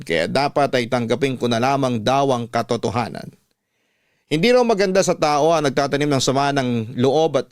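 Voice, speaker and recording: Man, Filipino accent, radio storyteller, medium pitch, scripted first-person narration, dry studio sound. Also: no echo.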